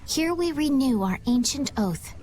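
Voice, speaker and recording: A woman voicing a gentle, bookish young noblewoman in a video game, speaking earnestly and formally. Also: high voice, lively intonation, measured pace. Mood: solemn.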